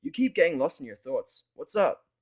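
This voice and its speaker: Casting: male reader for chilled-out, big-hearted girl pony, lifted voice, lively slangy urging, audio fiction. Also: a little high, moderate pace, voice pushed up. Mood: prodding. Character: laid-back, caring young female pony, slangy. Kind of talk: fan fiction audio story character voice.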